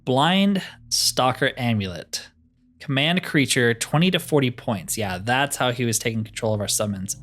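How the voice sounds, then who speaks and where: A man hosts a gameplay video with music playing behind him. He talks in a mid-pitched voice, casual and easygoing, thinking out loud as he plays. His commentary is chatty and informal. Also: expressive, normal speed. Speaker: gaming video host.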